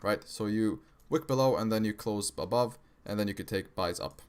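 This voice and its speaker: A man's voice: fairly low pitch, moderate pace, darkish tone, a little hiss or hum. Young man with an American-sounding accent. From Kosovo. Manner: casual, slangy, says "bro" and "right?" often. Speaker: forex trading streamer. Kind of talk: casual live-stream chart commentary.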